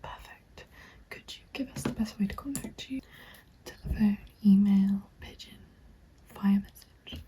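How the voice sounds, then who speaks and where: A young woman softly whispering close to the mic in a polite, gentle ASMR roleplay as a consultant. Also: mid-range voice, fairly even intonation, unhurried, long pauses. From England. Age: young adult. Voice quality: soft breathy whisper. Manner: polite, gentle, attentive customer-service patter. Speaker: ASMR creator playing a recruitment consultant. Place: small room at home, indoors. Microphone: close microphone. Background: computer keyboard typing.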